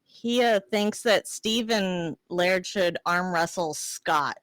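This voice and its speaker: A woman's voice: fairly low pitch, slow, a bit bright, no echo. A woman with an American accent co-hosting a podcast, chatting casually with a bubbly, playful teasing manner. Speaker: podcast co-host. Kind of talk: casual chat.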